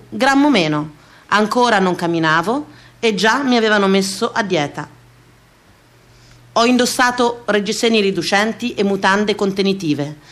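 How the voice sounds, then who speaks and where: An actress playing a wry, self-mocking woman eternally on a diet, declaiming in a comic theatrical radio monologue with dramatic pauses. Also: mid-range voice, fairly bright tone, close to an everyday voice. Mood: self-mocking, rueful. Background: music.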